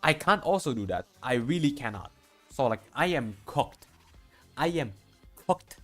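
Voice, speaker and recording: A man's voice: medium pitch, animated, unhurried, a bit bright. A man streaming to a chat, reading game dialogue aloud and commenting in a casual, rambling way, often repeating himself and stumbling. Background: background music.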